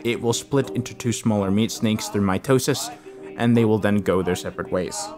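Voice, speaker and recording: Low-voiced man narrating over music. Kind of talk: scripted explainer narration of horror lore. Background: background music.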